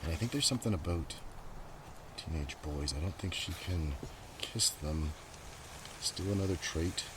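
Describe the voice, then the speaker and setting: Super deep voiced guy doing asmr outside, hushed n whispery, bit far from mic, lots of noise behind him. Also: moderate pace, long pauses. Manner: hushed, often slipping into whispers. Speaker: ASMR video host. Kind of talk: ASMR, soft-spoken show-and-tell with some read-out text. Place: outdoors. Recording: distant mic, loud outdoor background noise. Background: breeze and outdoor ambience.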